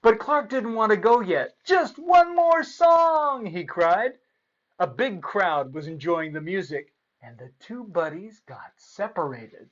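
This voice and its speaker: A man's voice: high-pitched, animated, unhurried, a bit bright, muffled recording. A man presenting and reading aloud for children as a storyteller, playful and theatrical.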